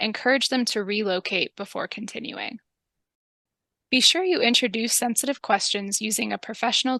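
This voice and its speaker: Bright, polite woman with a creaky edge. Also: mid-range voice, no room sound. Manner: calm, polite, methodical, professional. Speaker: public health interviewer and narrator. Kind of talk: scripted training demonstration.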